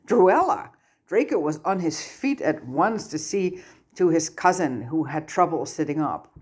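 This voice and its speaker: A woman's voice: sits low, lively intonation, a little slow, a little mellow. A woman reading a story aloud as scripted audiobook-style narration. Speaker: amateur fan fiction narrator.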